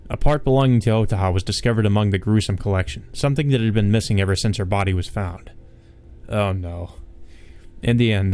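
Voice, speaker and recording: A man's voice: lowish voice, darkish tone. A man hosting a let's play, reading a visual novel aloud and adding casual asides. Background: game music.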